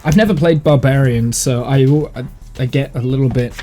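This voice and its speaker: Guy rambling on a game stream, soft mellow voice bit croaky n sniffly, music on in the back. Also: medium pitch, moderate pace. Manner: rambling, thinking aloud. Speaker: live streamer and game master. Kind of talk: casual gaming livestream chat. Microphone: streaming mic monitored through headphones.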